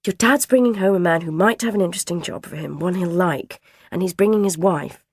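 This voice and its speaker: A woman's voice: lowish voice, a little fast, husky, no echo. A woman voicing a harassed, flustered mother who hurries her children along.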